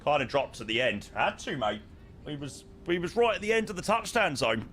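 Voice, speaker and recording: A man with a hoarse, croaky voice, chatting casually to his stream audience and rambling with lots of ums. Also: a little high, big pitch swings, fairly quick, fairly bright tone, slight background noise. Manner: rambling, self-interrupting, frequent ums. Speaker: flight sim streamer.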